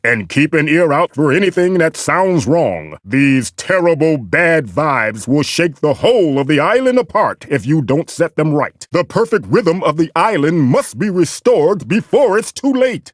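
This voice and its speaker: Fussy, high-voiced mystical guide. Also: male voice, no echo, studio quality. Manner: formal, dramatic, earnest. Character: fussy, theatrical mystical male guide in cartoon game. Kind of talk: video game voice lines.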